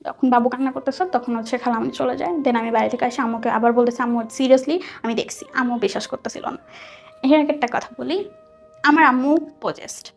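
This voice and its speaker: A woman with a Bangladeshi accent telling a personal story conversationally, animated and dropping in English words. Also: a little high, brisk, creaky. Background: soft background music.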